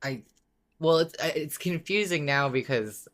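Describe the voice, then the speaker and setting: Co-host for a listener-discussion podcast: medium-pitched, conversational, tentative phrasing. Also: expressive, unhurried, fairly bright tone. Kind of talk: casual discussion, reading listener submissions.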